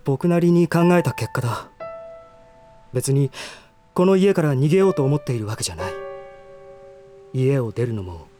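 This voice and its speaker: A male actor playing a refined, sheltered young gentleman in a voice drama, speaking formally and earnestly. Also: mid-range voice, animated, moderate pace, long pauses, soft background music, natural voice. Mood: earnest, measured. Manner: formal, stiff, earnest speech. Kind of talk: Japanese voice drama acting.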